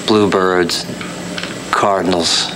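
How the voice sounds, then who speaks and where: Low-voiced, brooding blocked writer played by a man. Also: steady tone, drawn-out words. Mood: musing. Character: restless, self-doubting blocked male writer, musing aloud. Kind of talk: radio play monologue. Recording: noisy SD analog film transfer, constant hum.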